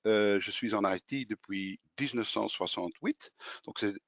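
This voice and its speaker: A man's voice: lowish voice, measured pace, fairly bright tone, dry recording. A man in his sixties with a Flemish accent, a native Dutch speaker presenting in French at a webinar, often hesitating as he searches for words. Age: 60s. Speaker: software company co-founder presenting. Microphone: video call. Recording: dull, dry webinar audio.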